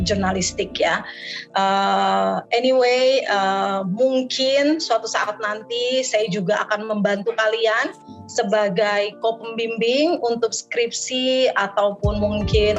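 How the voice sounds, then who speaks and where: A middle-aged woman from Jakarta with a light Betawi accent, a university lecturer answering interview questions in a measured way, often pausing on eh. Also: medium pitch, lo-fi sound. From Jakarta, Indonesia. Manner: measured, many hesitations with eh. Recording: music playing underneath.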